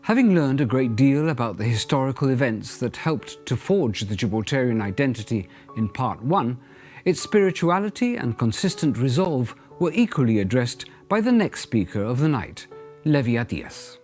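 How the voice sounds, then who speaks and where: A man's voice: medium pitch, sing-song, moderate pace, darkish tone, soft background music. A man presenting a program, reading a scripted introduction of the next speaker.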